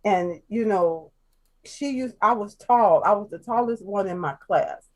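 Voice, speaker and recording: A woman from Chicago, a guest telling her life story in casual chat, soft-spoken and halting, with many fillers and restarts. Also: medium pitch. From Chicago, USA.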